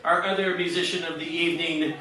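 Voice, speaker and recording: A man's voice: a little high, fairly even intonation, brisk. A man hosting a live stage show, introducing the cast in an informal, rambling way. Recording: very echoey noisy hall, distant mic.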